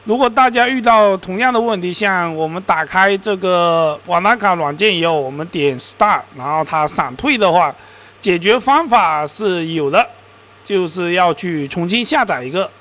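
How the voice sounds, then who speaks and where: High-voiced man rambling over gameplay. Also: lively intonation, normal speed, fairly bright tone. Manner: rambling, self-correcting, mildly exasperated. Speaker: gaming and crypto-mining video host. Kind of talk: casual screen-recorded game commentary. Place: voice-over on his computer screen recording.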